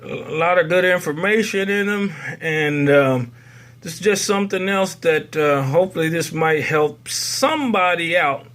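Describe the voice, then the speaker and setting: Folksy man with a slightly high voice. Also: unhurried, a bit bright. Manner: folksy, rambling asides. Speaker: tutorial video host. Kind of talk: casual spoken how-to demonstration.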